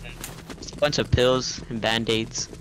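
A man giving brief, low-key replies in improvised gaming roleplay chat. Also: fairly even intonation, takes it slowly, fairly bright tone, a little hiss or hum, low-quality recording. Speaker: roleplay player.

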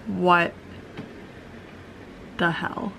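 A young woman vlogging casually from her bed with a croaky morning voice and a relaxed, chatty manner full of fillers, filmed on a camera held at arm's length. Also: fairly low pitch, very slow, slight background noise. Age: young adult. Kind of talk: casual vlog chat. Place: bedroom, in bed. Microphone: handheld camera at arm's length.

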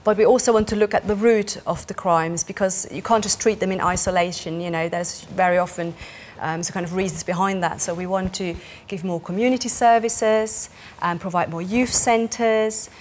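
A woman in her thirties from Switzerland, speaking with a Swiss German accent, answering interview questions as a parliamentary candidate in an animated way, recorded in a small studio. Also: mid-range voice, moderate pace, few pauses, a little hiss or hum. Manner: animated, gestures while talking, many fillers. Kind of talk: political interview.

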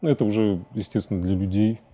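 A man in his forties giving halting, thoughtful answers as a guest in a studio interview. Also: lowish voice, mellow, husky. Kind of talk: reflective studio interview talk. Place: indoor TV studio at a desk.

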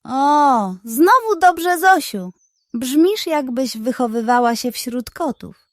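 A woman voicing a sly, scheming, excitable male pug in a children's storybook, with a theatrical, flattering manner. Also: high-pitched, takes it slowly, no room sound, clean studio sound, pitched above the performer's own voice. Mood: flattering, excited.

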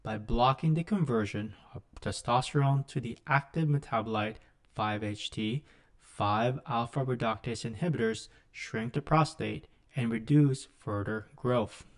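Male, middle-aged, mid-pitched voice for scripted clinical teaching; measured, explanatory delivery. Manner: measured, instructional. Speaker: physician lecturer. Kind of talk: scripted medical lecture narration.

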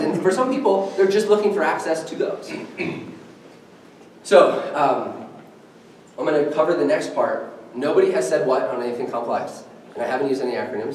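A young man giving an energetic, conversational presentation at a meetup, quizzing the audience as he goes. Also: mid-range voice, slight background noise. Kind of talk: conference presentation. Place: meeting room with a screen and podium. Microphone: far camera, no mic. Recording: echoey room from far off.

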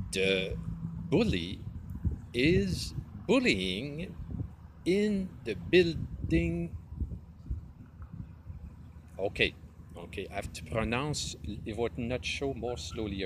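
A man in his fifties with a Quebec French accent, a native French speaker who rambles in a self-mocking way and mixes French words into his English. He films himself outdoors with a phone held low at arm's length. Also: very animated, slow pace, long pauses. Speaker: vlogger. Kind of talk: improvised comedy vlog, talking to an imagined partner. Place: outdoors by a stone wall under trees. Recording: outdoor noise and passing traffic.

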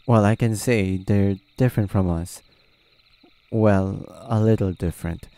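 A man voicing a proud, wary, easily flustered male nine-tailed fox spirit in a halting roleplay audio drama. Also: fairly low pitch, warm tone, no room sound. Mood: wistful. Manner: hesitant, halting, muttering to himself.

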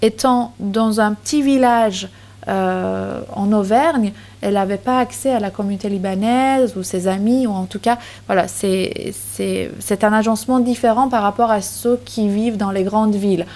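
A woman's voice: medium pitch, normal speed, darkish tone. A woman in her thirties speaking at a university panel into a table microphone, with frequent fillers and restarts. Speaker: conference speaker. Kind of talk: academic talk with many hesitations. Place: university lecture hall, seated at panel table. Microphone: table microphone on stand.

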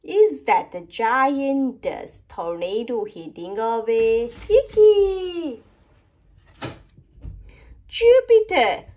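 A woman voicing an excitable young child exploring space in a picture book, with playful, exclamatory wonder. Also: a little high, drawn-out words, long pauses, highs cut off, exaggerated voice. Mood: amazed.

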